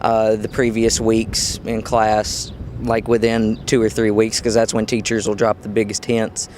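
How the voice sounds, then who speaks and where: A man's voice: on the low side, monotone, measured pace, darkish tone. A young man, a college student, giving a casual, conversational interview answer. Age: young adult. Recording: noisy outdoor recording with traffic.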